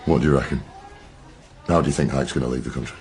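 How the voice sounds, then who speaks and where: An actor playing a blunt, sweary, gruff middle-aged detective in a naturalistic radio drama, with a gravelly voice. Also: male voice, a bass voice, fairly even intonation, quick pace, darkish tone. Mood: brooding, determined.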